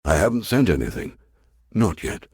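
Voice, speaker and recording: Low, husky actor as resolute science professor. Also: male voice, very animated, normal speed, darkish tone, no room sound, studio quality, full character voice. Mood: intent, determined. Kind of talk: audio drama acting.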